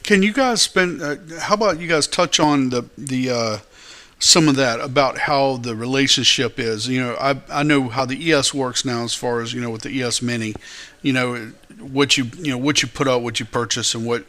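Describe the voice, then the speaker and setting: A man's voice: mid-range voice, normal speed, sharp tone. A man co-hosting a trading talk show, asking long rambling questions full of fillers. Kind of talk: casual talk radio.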